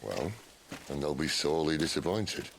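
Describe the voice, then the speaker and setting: An extremely low, creaky British male voice plays a world-weary rogue leader in a fantasy game. He is dry and sardonic, and his intonation moves widely. Clear ambient noise sits behind him. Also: expressive, moderate pace, full character voice. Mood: wry. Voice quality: deep, gravelly. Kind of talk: video game cutscene acting.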